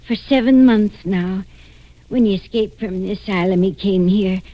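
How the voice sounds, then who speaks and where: An actress playing an anxious mother who pleads haltingly to protect her fugitive grown son, in 1940s radio drama style. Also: medium pitch, close to an everyday voice. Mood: protective, earnest. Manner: halting, distressed, earnest pleading. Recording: dull lo-fi vintage radio recording.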